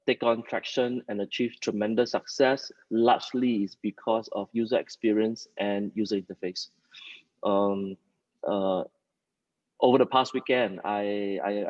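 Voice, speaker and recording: A man presenting informally at a company meeting, pausing often mid-sentence as he speaks. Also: lowish voice, darkish tone, no echo. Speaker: company leader hosting a staff townhall. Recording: dull, muffled lo-fi audio.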